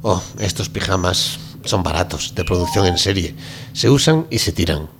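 A man acts out a deferential ship's doctor in a radio drama. He has a lowish voice and explains things with formal, fussy courtesy. There is faint background noise around him. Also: lively intonation, normal speed. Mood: polite, apologetic. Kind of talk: amateur radio drama reading.

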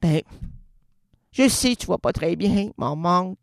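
Québécois male radio host, lively rambling comic patter, dry studio sound. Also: sits high, measured pace, gravelly, no echo. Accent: Québécois French. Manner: playful, rambling, swings between loud and quiet.

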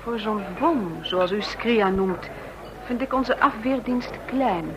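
A woman's voice: fairly high pitch, slow pace. An actress playing a skeptical, outspoken female colleague in a radio play, on a dull, noisy old recording. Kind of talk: Dutch radio play acting.

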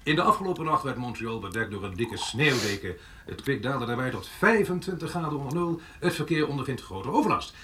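Bright, swooping slick TV host. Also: male voice, mid-range voice, expressive, fairly quick, slight background noise. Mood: ominous. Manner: theatrical, salesmanlike. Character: slick male breakfast-show television host with veiled menace. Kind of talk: showy broadcast presenting and advertising patter.

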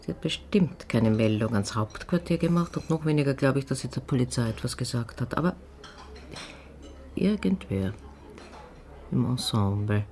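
Man playing a mellow, conspiratorial theatre colleague. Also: mid-range voice, expressive, slight background noise, close to an everyday voice. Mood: musing. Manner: conspiratorial, deliberate, sardonic. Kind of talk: radio drama dialogue.

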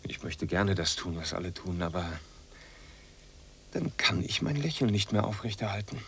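Radio drama: restless young East German outsider, sardonic and deadpan, lowish pitch, very bright edge. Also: male voice, normal speed, a little hiss or hum. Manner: clipped, deadpan, asks and answers himself. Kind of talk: radio drama acting, part inner monologue.

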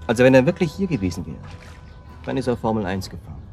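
An actor in a German radio drama playing a conciliatory, soft-hearted male friend with a hesitant way of talking. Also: medium pitch, very animated, fast talker, faint music behind. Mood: gentle, wistful. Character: conciliatory, gently wistful male friend of siblings. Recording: clearly noisy ambient background.

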